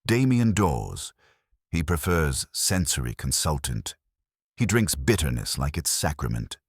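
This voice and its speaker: A man voicing a sharp, sardonic female journalist in a detective story, arch and pointed. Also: extremely low pitch, big pitch swings, measured pace, slightly rough, no room sound, studio quality, heavily acted.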